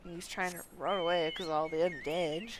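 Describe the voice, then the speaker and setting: A woman's voice: fairly low pitch, steady tone, moderate pace. A woman live-streaming a game, chatting casually and reading quest text aloud, stumbling over unfamiliar names. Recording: clearly noisy background.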